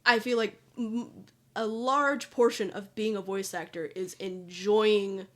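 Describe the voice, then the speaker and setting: A young woman hosting a loose chat stream, talking as she sketches. Her voice sits at an ordinary middle pitch and turns a little nasal at points. She rambles, restarts and wanders off into asides. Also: fairly even intonation, unhurried. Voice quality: somewhat nasal. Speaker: channel host. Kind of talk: casual chat while drawing.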